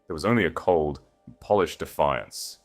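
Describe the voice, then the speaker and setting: Very deep male story narrator, music behind. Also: moderate pace. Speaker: audiobook narrator. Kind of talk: scripted dramatic fiction narration.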